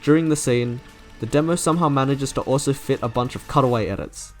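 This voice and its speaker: A man delivers scripted review narration in the manner of an online video creator. His pitch is medium and his delivery is enthusiastic and opinionated. Music plays softly behind him. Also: fast talker. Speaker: video creator reviewing game character trailers.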